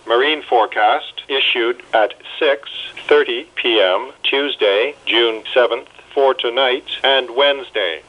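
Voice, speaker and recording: A man's synthetic voice reads an automated weather bulletin in measured, impersonal, clipped phrases. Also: medium pitch. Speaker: weather radio announcer. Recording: muffled weather radio broadcast.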